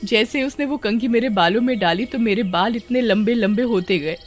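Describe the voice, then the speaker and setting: Woman voicing a high-pitched, sensitive village girl. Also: moderate pace, faint music behind, lightly acted. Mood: excited. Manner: plaintive, expressive. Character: sad, sensitive village girl, naive and excitable. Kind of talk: animated story acting.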